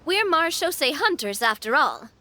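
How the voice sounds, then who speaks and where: An earnest young monster hunter in a fantasy game. She has a high, bright voice with lively intonation and a reassuring manner. Faint noise sits behind her. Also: moderate pace, exaggerated voice.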